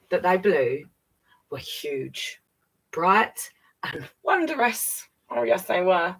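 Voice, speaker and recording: A woman's voice: on the low side, fairly bright tone, breathy edge, poor audio. A woman reading a children's picture book aloud expressively, with chatty asides straight to young listeners. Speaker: children's storyteller.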